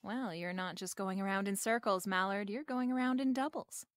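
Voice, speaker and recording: A woman's voice: medium pitch, normal speed, no room sound, lightly acted. An actress playing a wisecracking, flirtatious female private eye with a snappy, wry manner in a radio detective drama. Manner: snappy, wry, hard-boiled. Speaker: lead actress. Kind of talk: remake of 1940s radio detective drama, with narration.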